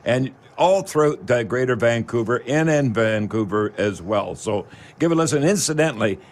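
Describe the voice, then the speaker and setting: A Canadian man hosts a local radio talk show. His voice sits at a middle pitch, and he rambles along in folksy promotional patter, speaking directly to the audience. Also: animated, moderate pace.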